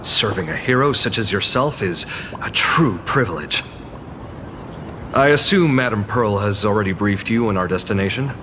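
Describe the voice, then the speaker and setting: A man's voice: on the low side, lively intonation, normal speed, background noise, natural voice. A man voicing a courteous, formal male guide who is easily flustered in a video game.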